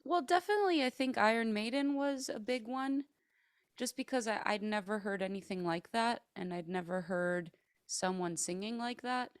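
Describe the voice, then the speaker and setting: A woman's voice: a little high, moderate pace, clean tone, no echo, studio quality. A woman who sings metal, guest in a casual interview, talking in a chatty way with many fillers and easy laughs. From Greece and the United States.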